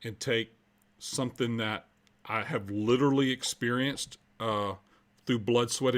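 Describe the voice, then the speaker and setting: American man in his fifties, explaining earnestly. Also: mid-range voice. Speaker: podcast guest, culture coach and minister. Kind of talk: conversational interview answers.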